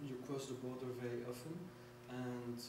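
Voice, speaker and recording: A man's voice: medium pitch, monotone, moderate pace. A Belgian man giving a thoughtful remark in a discussion, stammering and repeating words. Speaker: audience member in discussion. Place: large public hall. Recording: echoey hall, distant mic, hum.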